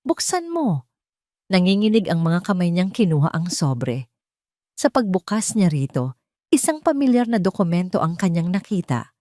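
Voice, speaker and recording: A woman's voice: fairly low pitch, sing-song, normal speed, dark tone, no room sound, clean studio sound. A woman narrator reading a scripted dramatic love story.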